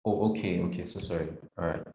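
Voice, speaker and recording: A low-voiced man moderates an online training call from an echoey room. He keeps the session moving and hesitates often with ums. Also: quick pace, creaky, warm tone, dull audio. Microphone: video call.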